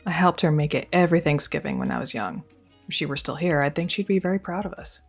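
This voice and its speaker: A woman's voice: low-pitched, quick pace, creaky, soft background music. A young woman performing a scripted girlfriend roleplay, speaking close to the mic in an affectionate, teasing and reassuring way.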